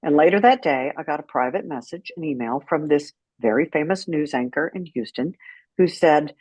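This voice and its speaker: A middle-aged American woman telling candid personal stories as an interview guest, frank and unfiltered, with dramatic pauses for emphasis. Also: sits low, animated, darkish tone, breathy edge, no echo, low-quality recording. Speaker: guest, writer and former radio personality.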